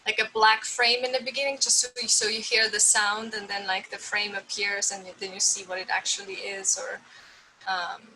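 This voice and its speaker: A woman's voice: mid-range voice, little pitch movement, normal speed, pushed and bright, a little hiss or hum, rough recording. A woman artist talking casually about her video work, hesitant and full of fillers. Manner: hesitant, lots of "like" and "um". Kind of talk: casual conversation.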